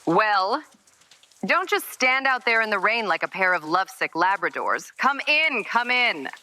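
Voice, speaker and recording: A woman's voice: mid-range voice, big pitch swings, a little slow, sharp tone. An actress playing a smug, imperious woman with a theatrical, condescending manner in an audio drama. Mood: smug, inviting. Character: Minerva, smug imperious woman who owns every room.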